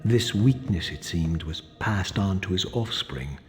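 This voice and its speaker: A man voicing a proud, vengeful undead vampire in a video game, brooding and bitter, with measured, grandiose, disdainful theatrical delivery. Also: sits low, lively intonation, faint music behind. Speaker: protagonist narrator. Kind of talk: dramatic scripted game narration.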